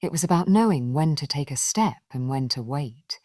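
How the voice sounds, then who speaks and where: A woman reading a scripted children's story in an expressive, gentle storybook manner. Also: deep voice, normal speed, no room sound, studio quality.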